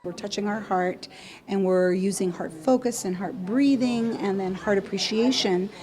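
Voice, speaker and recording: A woman's voice: medium pitch, normal speed, a little mellow. A woman puppeteer explaining her work in an interview.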